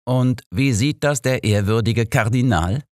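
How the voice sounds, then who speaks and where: A man voicing a brisk, impatient, probing elderly detective in an audiobook. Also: medium pitch, darkish tone, no room sound, studio quality, voice pushed up, close to an everyday voice.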